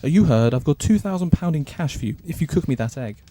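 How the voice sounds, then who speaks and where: A pompous, demanding male customer, played by an actor, fairly high in pitch with a soft, mellow tone. He pushes his complaint with insistent self-importance in spoof radio style. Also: lively intonation, quick pace. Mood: scheming. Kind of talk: spoof docu-soap radio comedy acting.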